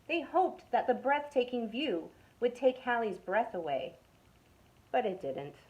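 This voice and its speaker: A young woman telling a children's story from a youth club. Her reading is expressive and storybook-paced. At suspenseful moments she sinks into a hushed whisper. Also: on the high side, a little slow, lo-fi sound. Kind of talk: reading a picture book aloud to children.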